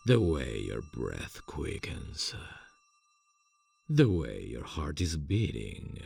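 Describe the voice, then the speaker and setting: A seductive, possessive male devil for ASMR fantasy audio. The voice is extremely low and thick with gravel, unfolding at a slow, deliberate pace. He coaxes with formal courtesy, close on the mic. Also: darkish tone, exaggerated voice. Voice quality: very deep, gravelly, husky. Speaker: voice actor. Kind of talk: ASMR fantasy roleplay acting. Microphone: close studio mic, dry.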